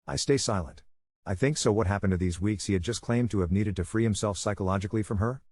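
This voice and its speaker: A man narrating an online story in a brisk, even reading style. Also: on the low side.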